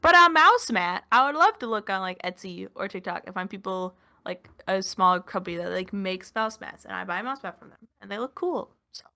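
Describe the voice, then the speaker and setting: Bright-voiced young woman rambling casually. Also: mid-range voice, expressive, fairly quick, hardly any pauses. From Minnesota. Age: 20s. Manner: rambling, lots of filler words, self-deprecating. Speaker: podcast co-host. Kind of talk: casual chat.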